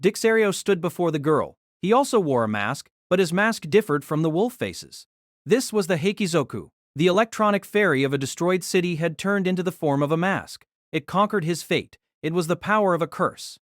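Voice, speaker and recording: A man's voice: high-pitched, animated, a little fast, no room sound, studio quality. A man giving a dramatic scripted narration of an action fantasy story.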